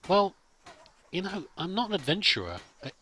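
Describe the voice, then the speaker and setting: A man's voice: high voice, sing-song, moderate pace, pushed and bright, husky, low-quality recording. A man hosting a let's play with casual role-played commentary, chatty and musing to himself.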